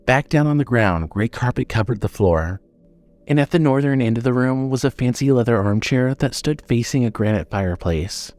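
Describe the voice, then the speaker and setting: A man narrating a scripted horror audio drama in a measured, dramatic storytelling manner. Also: medium pitch, lively intonation, a little mellow.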